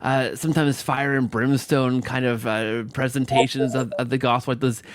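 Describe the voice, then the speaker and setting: A man's voice: mid-range voice, fairly even intonation, normal speed, background noise. A man hosting a casual interview, rambling tentatively and often checking for agreement with tag questions. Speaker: podcast host and interviewer.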